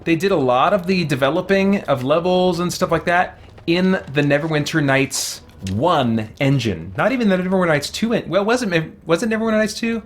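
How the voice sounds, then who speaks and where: A man's voice: fairly high pitch, lively intonation, fairly quick. A man giving casual gameplay commentary as a streamer, rambling and enthusiastic as he thinks aloud. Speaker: game streamer.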